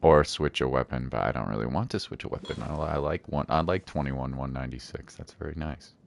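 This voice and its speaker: A woman's voice: extremely low pitch, fairly quick, gravelly, slight background noise. A woman giving casual live gameplay commentary as a streamer, rambling and thinking aloud. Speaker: game streamer.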